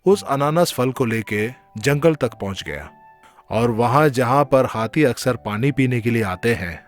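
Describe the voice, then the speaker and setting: A man's voice: mid-range voice, animated, measured pace. A man telling a scripted children's story as its narrator. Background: music.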